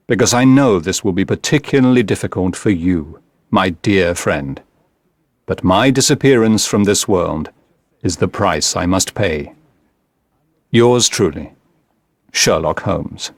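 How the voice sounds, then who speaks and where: A man acts out a brilliant English detective in an audiobook, reading a farewell letter. His voice is low and solemn, composed and deliberate. The recording is close and dry. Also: no echo, heavily acted.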